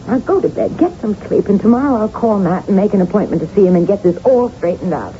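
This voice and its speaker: Actress as a lively, sceptical American wife. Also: female voice, medium pitch, quick pace, lightly acted. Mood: firm, dismissive. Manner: blunt, incredulous, insistent. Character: sceptical, no-nonsense wife of an anxious man. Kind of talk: 1980s radio drama acting. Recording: dull, noisy old radio broadcast.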